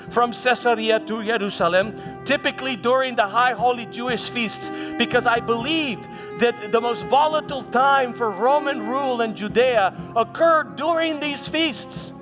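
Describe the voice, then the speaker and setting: A man playing a proud, vengeful Roman governor in a theatrical monologue, speaking emphatically with halting repetitions and dramatic pauses. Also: sits high, close to an everyday voice. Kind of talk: dramatic first-person monologue in church. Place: church hall, live performance. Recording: echoey hall with background music.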